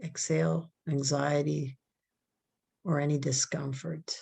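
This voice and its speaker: Slow, low-voiced woman guiding a meditation. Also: creaky, breathy edge. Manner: soft, calm, drawn-out instructions. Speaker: meditation guide. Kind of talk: guided relaxation meditation.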